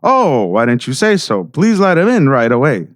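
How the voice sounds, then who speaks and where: A man's voice: medium pitch, big pitch swings, moderate pace, dry recording, clean studio sound, lightly acted. A man playing a self-important, scheming small-town mayor in an audio drama, with a pompous, ingratiating way of talking.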